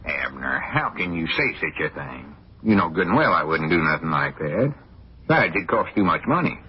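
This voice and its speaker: An actor playing a boastful, blustering small-town old-timer with a rural Arkansas accent, folksy and evasive in 1940s radio comedy style. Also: male voice, very deep voice, very animated, normal speed, husky, full character voice. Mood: self-important. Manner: folksy, evasive, self-important, backtracking. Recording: muffled, dull 1940s radio recording.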